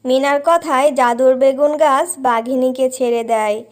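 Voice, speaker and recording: Female narrator, high voice, scripted children's story narration. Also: steady tone, normal speed.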